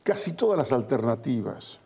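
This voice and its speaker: A man's voice: medium pitch, big pitch swings, normal speed, creaky, dark tone, breathy edge. A man with an Argentine Rioplatense accent giving blunt, emphatic, colloquial political opinions as an interview guest. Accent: Argentine Rioplatense Spanish.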